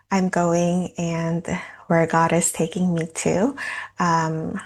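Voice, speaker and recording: A woman in her twenties, a dental student, giving a prepared personal statement in a measured way with frequent pauses. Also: on the low side, a little mellow. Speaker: scholarship candidate, dental student.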